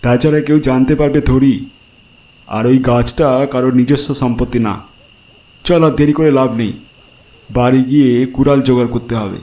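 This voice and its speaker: A man's voice: mid-range voice, steady tone, moderate pace, pauses often, background noise, natural voice. A man voicing a bold, impatient village man who coaxes and pushes his friend in a horror cartoon. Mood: urging, confident. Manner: coaxing, pushy. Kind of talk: Bengali horror cartoon dialogue.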